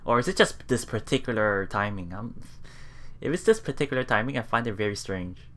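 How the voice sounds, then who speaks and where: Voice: mid-range voice, animated, a little fast, fairly bright tone. A let's player reading visual novel text aloud and musing casually on it as they go. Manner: musing, often thinking aloud.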